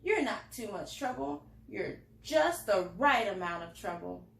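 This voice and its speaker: A woman voicing a grumpy, solitary man with a soft heart from a storybook, in a gruff, complaining manner. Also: medium pitch, animated, unhurried, pitched below the performer's own voice. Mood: softening. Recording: roomy, echoing indoor sound.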